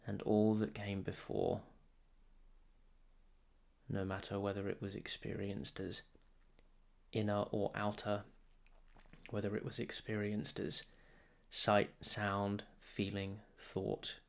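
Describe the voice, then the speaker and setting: A man teaches meditation in a soothing, gravelly voice that stays very even in pitch. He moves very slowly and deliberately, setting down short phrases with long pauses between them. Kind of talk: guided meditation.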